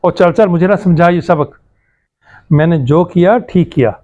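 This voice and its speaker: Blustering, high-voiced cunning father , male actor. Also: measured pace, mellow, lo-fi sound. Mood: smug, dismissive. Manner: blustering, dismissive. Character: cunning, hot-tempered father and dishonest customer. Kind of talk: moral story drama acting.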